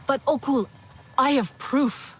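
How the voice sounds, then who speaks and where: An actress playing an earnest, steady young woman warrior in a video game. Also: high-pitched, slow pace, a little hiss or hum, low-quality recording. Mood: urgent.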